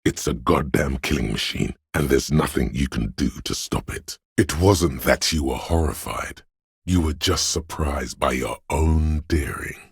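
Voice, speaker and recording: A man voicing a menacing, paranoid inner voice of fear and aggression in a video game, gravelly and husky, ominous and deliberate. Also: extremely low pitch, no echo, studio quality, full character voice. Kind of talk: scripted game voice acting, second-person narration.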